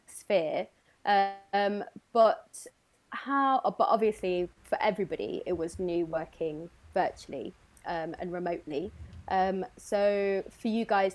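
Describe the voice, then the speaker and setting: Female host for an informal panel chat. Lower-than-average pitch, tentative piecemeal delivery. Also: darkish tone. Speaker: interviewer, theatre executive director. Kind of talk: informal panel interview questioning.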